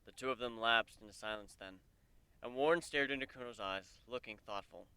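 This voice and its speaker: A man reads a story aloud as a dramatic narrator. His voice is bright and smooth, with clear articulation and a dry, close recording. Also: mid-range voice, brisk, no echo. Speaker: narrator of a fan fiction reading.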